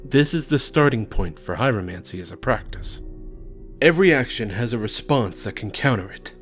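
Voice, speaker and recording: A man playing a stern, composed prison warden and mentor in an audio drama, speaking in a measured, formal, instructive way. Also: medium pitch, lively intonation, fairly bright tone, faint music behind, lightly acted.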